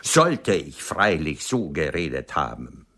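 Snobby older poet in a radio play, deep voice that moves around a lot, dry and formal. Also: male voice, very animated, takes it slowly, pushed and bright, full character voice. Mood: scornful. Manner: dry, condescending, formally articulate. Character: vain, aloof, aging Viennese male poet, sharp-tongued. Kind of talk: German radio play acting.

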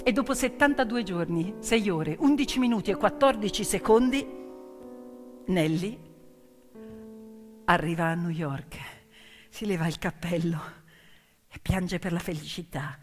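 A woman storyteller performing a theatrical narration with emphatic delivery and deliberate dramatic pauses. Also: lowish voice. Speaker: stage storyteller and actress. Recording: piano music behind the voice. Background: piano accompaniment.

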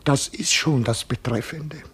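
An actor in a German radio play voicing a simple, good-hearted jailer, coaxing and fussy, with colloquial talk that moves between hushed murmurs and exclamations. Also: male voice, mid-range voice, a little slow, breathy edge. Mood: wary, fussy.

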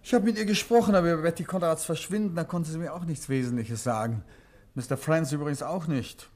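An actor playing a composed, courteous gentleman detective in a 1950s German radio play, speaking thoughtfully with a husky voice. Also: a little high, quick pace, lightly acted. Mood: serious, probing. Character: composed, probing gentleman detective, husband of his companion.

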